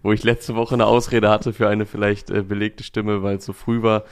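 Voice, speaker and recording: Slightly hoarse man hosting a chat. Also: on the low side, moderate pace. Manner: relaxed, talkative, with frequent fillers. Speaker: podcast host. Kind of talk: casual music review chat.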